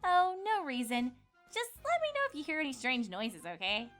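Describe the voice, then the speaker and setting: A woman's voice: high voice, huge pitch swings, normal speed, fairly bright tone, soft background music, full character voice. A woman voicing a composed, slyly teasing young alicorn pony princess in a cartoon fan-fiction story. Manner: sly, teasing. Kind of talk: dramatized fan fiction reading.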